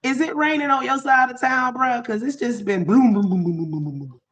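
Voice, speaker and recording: A woman's voice: a little high, fairly quick, a bit bright. A woman co-hosting a live stream, chatting casually in a commanding, lecturing tone. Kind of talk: casual commentary chat.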